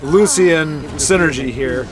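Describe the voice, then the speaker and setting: Chatty higher-voiced male commentator. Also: slow pace, over music. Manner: rambling, self-correcting, chatty asides. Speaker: card game commentator and show host. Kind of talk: casual play-by-play commentary.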